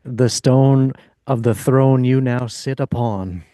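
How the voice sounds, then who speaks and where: A man's voice: mid-range voice, takes it slowly, dark tone, dry recording, studio quality. A man co-hosting a podcast, laid-back and slangy with easy enthusiasm. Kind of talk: casual podcast chat.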